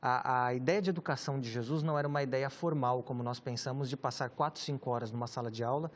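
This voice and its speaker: A man with a Brazilian Portuguese accent, a pastor teaching about the Bible in a measured, explanatory way, often ending phrases with a questioning tag. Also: mid-range voice, steady tone. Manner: measured, explanatory, with many rhetorical tags.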